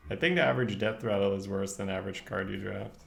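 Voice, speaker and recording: A man's voice: on the low side, vocal fry. A young man, a native Dutch speaker, giving casual live gameplay commentary, thinking aloud in slangy English as he streams. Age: young adult. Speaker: game streamer. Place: indoor room at a desk, streaming webcam. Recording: roomy echo, faint background noise.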